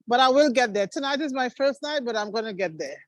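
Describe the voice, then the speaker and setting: A woman speaks in a fairly high voice that rises and falls a lot. She answers briefly and agreeably as a newcomer on a group call. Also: lively intonation, quick pace, no echo. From Trinidad and Tobago. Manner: agreeable, short replies. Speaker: new member on call.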